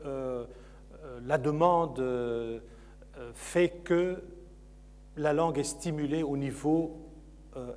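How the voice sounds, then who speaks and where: Man, university lecturer, Q&A answers, halting with fillers, slightly high voice, reverberant hall. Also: darkish tone. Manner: hesitant, many fillers and repeated words. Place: conference hall.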